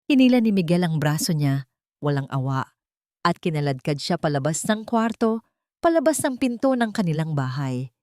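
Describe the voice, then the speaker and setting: Low-pitched woman narrating drama. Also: sing-song, normal speed, darkish tone, no room sound, studio quality. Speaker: storyteller. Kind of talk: dramatic scripted story narration.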